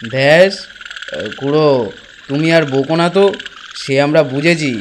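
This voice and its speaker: A man playing an easygoing village listener who speaks casually and placatingly in an animated story. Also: on the high side, a little slow, a little hiss or hum, lightly acted.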